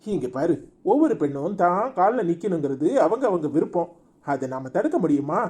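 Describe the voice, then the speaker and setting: A woman playing a gruff, grumbling middle-aged husband in a comic family skit. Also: on the low side, lively intonation, moderate pace, dark tone, close to an everyday voice. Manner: gruff, scolding.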